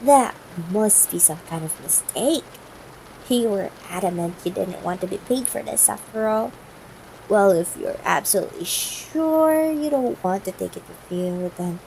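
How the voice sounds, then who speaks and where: A woman playing a tender, yearning, gently commanding CEO ex-girlfriend in an intimate ASMR roleplay, soft-spoken and formal in wording, with hesitations. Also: mid-range voice, unhurried, gravelly. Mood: flustered, admiring. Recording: noisy broken-microphone home recording.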